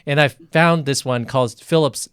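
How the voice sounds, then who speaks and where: Clear-voiced man hosting, dry sound. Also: medium pitch, fairly bright tone, no room sound. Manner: halting, repeats phrases. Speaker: physician interviewer hosting a livestream. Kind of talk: conversational live interview. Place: quiet sound-treated room.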